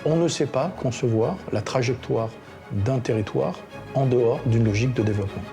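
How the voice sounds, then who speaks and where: A man speaking in a measured, reflective way in a documentary interview. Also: mid-range voice, music in the background. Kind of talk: interview commentary in a documentary film.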